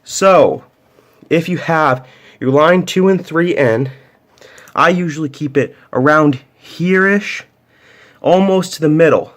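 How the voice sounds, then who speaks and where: A man's voice: fairly high pitch, slow pace, pauses often. A man giving a casual, unscripted hands-on tech tutorial, explaining step by step and often repeating himself. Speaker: tutorial presenter.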